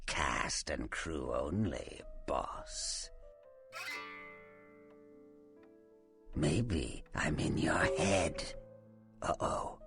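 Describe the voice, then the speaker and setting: A man's voice: rumbling low, animated, drawn-out words, creaky, music in the background, full character voice. A man playing a sly, taunting Nosferatu vampire and faded film star in a video game, theatrical and teasing, often dropping into a menacing whisper. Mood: sinister teasing. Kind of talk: video game character acting.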